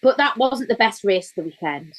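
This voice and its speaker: A woman co-hosts a laid-back chat. Her voice sits high and swings a lot in pitch, and she keeps things bubbly and laughs easily. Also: lively intonation, a little fast. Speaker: podcast co-host. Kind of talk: casual chat.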